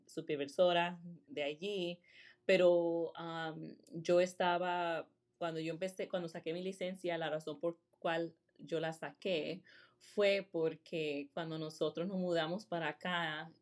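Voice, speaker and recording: A woman from Rhode Island, a real estate agent, chatting in a relaxed interview and dropping English fillers between her sentences. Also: fairly low pitch, a little slow. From Rhode Island, USA. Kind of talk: casual interview.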